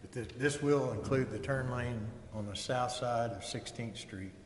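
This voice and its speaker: A man's voice: mid-range voice, normal speed. A man speaking as a council member, making brief remarks at a public meeting. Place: large council chamber. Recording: echoey hall, faint noise.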